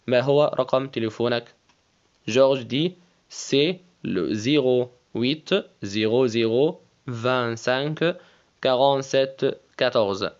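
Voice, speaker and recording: Egyptian-accented man, patient French tutor, repeats and spells, muffled lo-fi audio. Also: mid-range voice. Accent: Egyptian Arabic. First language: Arabic. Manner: slow, deliberate, repeats phrases and spells words letter by letter. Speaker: French teacher. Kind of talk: language lesson, French with Arabic explanations.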